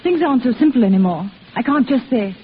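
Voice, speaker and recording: A woman's voice: on the high side, expressive, quick pace, natural voice. An actress playing an eager, lovestruck young woman in a 1940s radio drama, breathless and earnest. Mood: earnest, yearning. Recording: tinny, noisy old radio recording.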